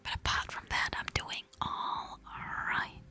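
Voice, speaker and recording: A woman's voice: measured pace, bright, forceful tone, soft background music. A woman streaming in an ASMR whisper, chatting playfully with viewers while still tired from recovering from an illness.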